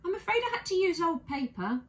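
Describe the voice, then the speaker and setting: A woman's voice: extremely high pitch, very animated, fairly quick, fairly bright tone, voice pushed up, exaggerated voice. A woman voicing an earnest, polite, apologetic young bear in a children's storybook reading. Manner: earnest, courteous, eager to please.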